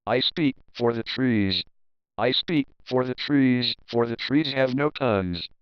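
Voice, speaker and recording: A man's voice: medium pitch, slow pace, clean tone, no room sound, dull audio, lightly acted. Man acting out short old woodland creature, bossy, scolding, indignant, clean voice, storybook character. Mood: upset, bossy.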